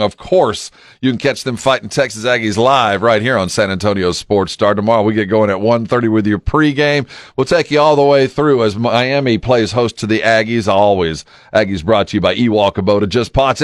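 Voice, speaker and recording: Casual sports radio morning host, dry studio sound. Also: male voice, mid-range voice, no echo.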